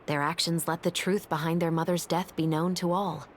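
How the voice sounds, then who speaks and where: A woman voicing a calm, composed female officer in a video game, speaking in a steady, matter-of-fact way. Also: on the low side, moderate pace, background noise, natural voice. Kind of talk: game voice acting.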